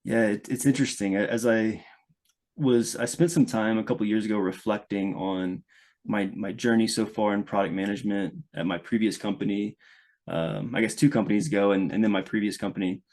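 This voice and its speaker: A man from Louisiana with a fairly low voice, speaking as a guest on a podcast. He talks thoughtfully, restarting phrases often and picking up speed when he gets into a point. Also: steady tone, fairly quick, darkish tone. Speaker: guest, product manager. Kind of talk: conversational podcast interview.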